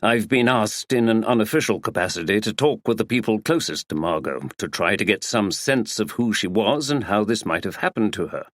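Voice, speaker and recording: A man's voice: on the low side, lively intonation, a little fast, hardly any pauses, dry recording, studio quality, natural voice. A man as a courteous, formal male investigator. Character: polite, probing male investigator.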